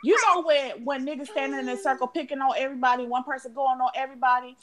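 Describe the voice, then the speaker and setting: A woman in her thirties co-hosting a podcast, animated and profane, who laughs often in casual chat. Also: high-pitched, fast talker, noisy background. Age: 30s. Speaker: podcast co-host.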